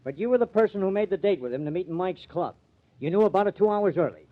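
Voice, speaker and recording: A man's voice: sits high, expressive, fast, lo-fi sound. A man playing a shrewd investigator in a 1950s radio mystery, urgent, probing and emphatic. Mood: pressing. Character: shrewd, pressing male investigator and confidant. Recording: thin, band-limited old radio recording.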